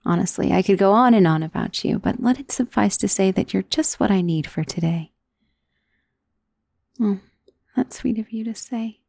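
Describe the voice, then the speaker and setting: A woman playing a centuries-old, wealthy vampire in an ASMR roleplay, doting and possessive, speaking in a soft, intimate, genteel and commanding manner. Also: mid-range voice, vocal fry, no echo, clean studio sound. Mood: fond, doting. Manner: soft, intimate, genteel, unhurried endearments, often dropping into whisper. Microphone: close microphone in a dry space.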